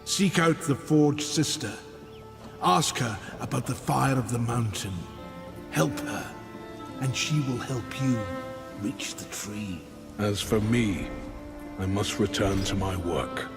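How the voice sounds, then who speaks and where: An ancient, cryptic maker, grave and slow in delivery. His lines ring with a long reverb over clear game music. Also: mid-range voice.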